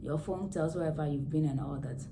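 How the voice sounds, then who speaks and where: A hoarse woman with a low voice talks through home care in a casual vlog, explaining plainly and going back over the important points. She is recorded to camera, with a steady hiss of noise behind her. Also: brisk. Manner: plain explaining, repeats key words. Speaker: nurse vlogger. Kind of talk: casual health advice vlog.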